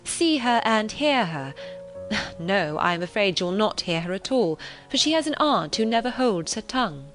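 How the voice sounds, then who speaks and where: A woman's voice: mid-range voice, sing-song, moderate pace, a bit bright. Lady reader as a poised young woman in an old novel, confident, well spoken, music in the background. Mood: assured. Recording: relaxing music behind the voice.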